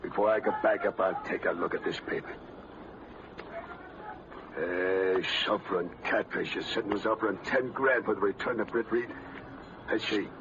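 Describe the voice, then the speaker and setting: Low-voiced, slangy, dim gangster henchman. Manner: rough slangy gangster talk, bad grammar. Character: surly, dim, double-crossing ex-boxer henchman. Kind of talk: 1930s-40s radio acting. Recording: dull, noisy vintage radio broadcast.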